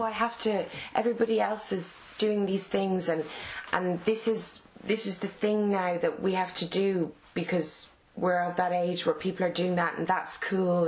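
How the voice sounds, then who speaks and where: Woman, Dublin Irish accent, low voice, halting and casual, fillers and restarts. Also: poor audio. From Ireland. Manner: halting, many fillers and restarts, laughs between thoughts. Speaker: actress and interview guest. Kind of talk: casual interview answers.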